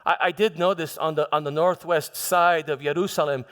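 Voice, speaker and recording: A man's voice: on the high side, steady tone, fairly bright tone, smooth, lightly acted. A man playing a troubled Roman governor in a theatrical monologue, stammering and defensive as he justifies himself. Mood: uneasy recounting. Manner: halting, stammering, self-justifying, emphatic. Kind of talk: theatrical church monologue, first-person dramatization. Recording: long reverberant echo of a hall.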